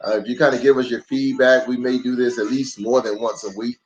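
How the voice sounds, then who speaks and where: A man's voice: mid-range voice, little pitch movement, brisk. An American man, a pastor, chatting casually and welcomingly to families as he hosts a children's story time livestream. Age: adult parent of young children. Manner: welcoming, addresses viewers directly. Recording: dull lo-fi livestream audio with music.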